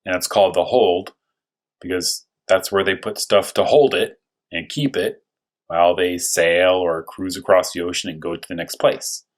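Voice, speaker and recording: A man with a low, husky voice speaks as a primary school teacher during a story read-aloud. He explains things simply for young children, wonders aloud in questions, and draws out important words for emphasis. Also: fairly even intonation, moderate pace. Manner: thinks aloud with rhetorical questions. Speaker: first-grade teacher reading a story aloud.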